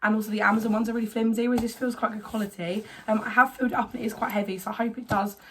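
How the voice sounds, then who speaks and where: A young woman talks chattily to a camera on a tripod at home, her voice hoarse as she gets over a cold. She rambles along with plenty of ums and likes, in the loose tone of a casual vlog. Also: fairly high pitch, steady tone, rapid-fire, a little hiss or hum, low-quality recording. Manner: chatty, rambling, filler-heavy. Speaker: vlogger.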